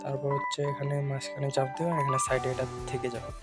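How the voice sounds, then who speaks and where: A man's voice: medium pitch, completely flat delivery, quick pace, loud music behind the voice. A man hosting a casual how-to video, giving step-by-step instructions and often repeating his phrases. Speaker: tutorial video host. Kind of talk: casual screen-recorded phone tutorial narration.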